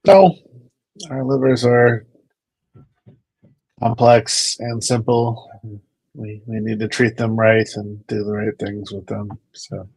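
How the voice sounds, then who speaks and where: Man, husky voice, lowish pitch, rambling storyteller, dry video-call audio. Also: level tone, slow pace, pauses often, a little mellow, dry recording, low-quality recording. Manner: rambling, storytelling, repeats words. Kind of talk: casual chat.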